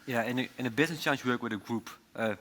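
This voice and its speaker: Young man, student, eager, stumbling, bright, long reverb. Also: medium pitch, very fast. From Belgium. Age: young adult. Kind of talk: panel answers.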